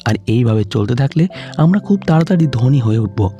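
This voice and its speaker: A man's voice: medium pitch, lively intonation, fairly quick, warm tone, dull audio, faint music behind, natural voice. A man voicing a greedy, angry husband with a boastful, threatening manner in an audio story.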